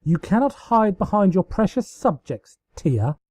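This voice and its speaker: A man's voice: high-pitched, big pitch swings, unhurried, darkish tone, no room sound, pitched above the performer's own voice, exaggerated voice. Guy doing an evil-ish pony princess, gloating and taunting, raised voice swinging all over, storybook villain. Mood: wicked glee. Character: gleefully wicked pony princess taunting her rival.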